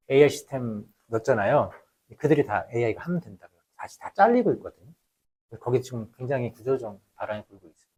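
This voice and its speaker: A man giving an explanatory lecture in a conversational, emphatic way, posing questions and answering them himself, often dropping quieter at the ends of phrases. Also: mid-range voice. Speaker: lecturer, labour researcher.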